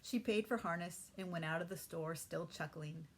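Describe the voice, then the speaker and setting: A woman teacher narrating a children's storybook aloud in an expressive storytelling manner. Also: on the low side, moderate pace, slight background noise. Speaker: third-grade teacher reading aloud.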